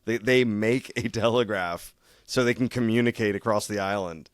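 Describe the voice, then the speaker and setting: Young guy cohosting a podcast, kinda low voice, rambles and laughs, dry close mic. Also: normal speed, dry recording. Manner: rambling, laughs often, swears casually. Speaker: podcast co-host. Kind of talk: casual chat.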